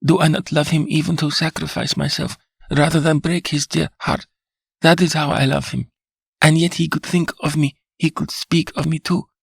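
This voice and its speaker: A man's voice: on the high side, steady tone, normal speed, gravelly, husky, dry recording, pitched above the performer's own voice. A man voicing a delirious, anguished young wife, speaking with emphatic repetition. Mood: impassioned. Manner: anguished, emphatic, repeating herself.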